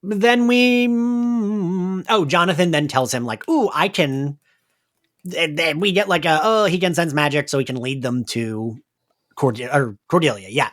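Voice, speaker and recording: A man co-hosting a podcast, recapping a show in casual, chatty talk full of excitable tangents. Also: fairly high pitch, a little slow. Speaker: podcast co-host. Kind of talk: casual recap chat about an audio drama.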